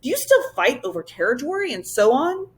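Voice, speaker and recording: A woman's voice: sits high, sing-song, fairly quick, voice pushed up. A woman voicing a regal, chatty old moose-like creature king with a lisp for a children's storybook. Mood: curious, chatty. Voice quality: lisping. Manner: nonchalant, chatty, slightly haughty. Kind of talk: children's storybook read-aloud.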